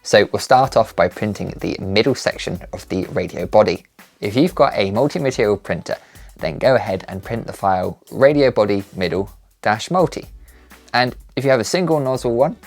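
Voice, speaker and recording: A man's voice: lowish voice, lively intonation, normal speed, vocal fry. A man giving scripted, methodical, step-by-step instruction as the presenter of a maker channel. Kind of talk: scripted tutorial narration. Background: background music.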